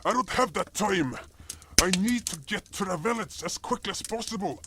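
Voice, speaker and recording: Man as agitated, high-pitched beast adventurer. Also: lively intonation, normal speed, background noise. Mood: exasperated. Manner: agitated, exclaiming in a raised voice. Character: furred beast adventurer, anxious, exasperated, proud. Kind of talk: audio drama character acting.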